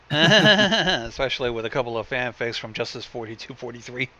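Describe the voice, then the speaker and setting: A man's voice: medium pitch, animated, moderate pace, bright, forceful tone, dull audio. A man chatting casually as co-commentator on a story reading, dropping offhand, wry asides. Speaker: co-commentator on fan fiction reading. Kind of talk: casual reaction chat.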